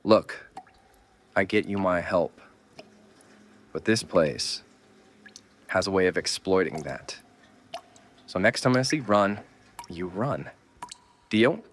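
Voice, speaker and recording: Man playing a deep-voiced, weary survivor. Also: animated, takes it slowly, long pauses, slight background noise. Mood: stern scolding. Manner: blunt, scolding, urgent. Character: gruff, world-weary male survivor, stern mentor to a kid. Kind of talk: horror audio drama acting.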